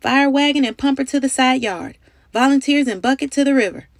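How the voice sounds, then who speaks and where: A woman voicing a brisk, commanding male fire captain from a storybook, giving loud, emphatic orders. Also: sits high, no room sound, studio quality, voice pushed up. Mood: urgent.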